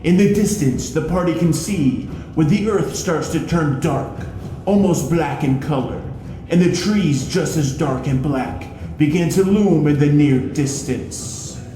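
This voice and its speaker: A man's voice: on the high side, unhurried, mellow, a little hiss or hum. A man acting as game master, giving scripted narration of a fantasy adventure. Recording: roomy echo, music behind. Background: music.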